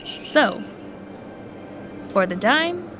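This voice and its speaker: An actress playing a courteous, careful coin appraiser who explains things politely and in measured phrases in an audio drama. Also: female voice, sits high, big pitch swings, music in the background, exaggerated voice. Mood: polite, explaining.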